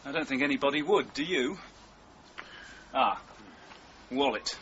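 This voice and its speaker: A quiet, businesslike detective with a sharp, bright edge and clipped delivery. The radio drama sound is a bit dull, with faint ambient noise. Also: male voice, medium pitch, lively intonation, unhurried. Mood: brisk, intent. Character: brisk, observant detective examining a crime scene. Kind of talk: naturalistic radio drama acting.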